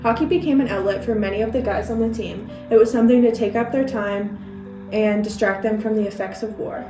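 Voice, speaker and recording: A woman's voice: medium pitch, fairly even intonation, fairly quick, lightly acted. A young woman playing a proud male hockey team captain in a scripted mock interview, earnestly reading prepared answers. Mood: earnest pride. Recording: roomy echo, far mic, music behind.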